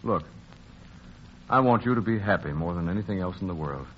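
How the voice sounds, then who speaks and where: Devoted suitor in old radio play, low voice, earnest and pleading, muffled hissy sound. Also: male voice, animated, brisk. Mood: gentle, earnest. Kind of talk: old-time radio drama acting.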